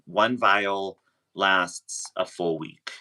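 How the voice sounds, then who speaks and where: Man, deep pitch, sharp bright tone, casual interview guest, halting delivery. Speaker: interview guest sharing personal experience. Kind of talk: casual chat.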